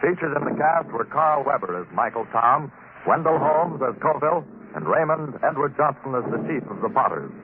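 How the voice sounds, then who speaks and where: A man announcing the closing credits of a 1950s radio drama in a crisp, formal manner. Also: mid-range voice, expressive, moderate pace. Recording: scratchy tinny old radio broadcast.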